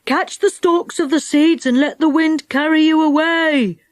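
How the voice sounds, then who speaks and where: Woman voicing an excitable, eager fantasy fellow. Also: on the high side, takes it slowly, no room sound. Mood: urgent. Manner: exclamatory, urgent. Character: excitable adventurous male fantasy companion, bossy and eager.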